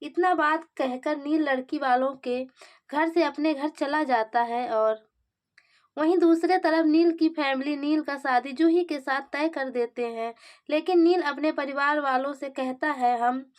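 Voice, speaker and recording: Woman, high-pitched voice, scripted recap narration, dramatic storytelling style. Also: a little slow.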